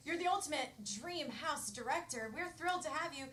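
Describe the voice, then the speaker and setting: A woman's voice: high-pitched, animated, fairly quick, fairly bright tone. An American woman co-hosting a live improv show with playful, gushing comic banter. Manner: gushing, mock-grand, jokey. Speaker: co-host and improviser. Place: theatre stage with live audience. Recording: echoey, noisy live theatre recording.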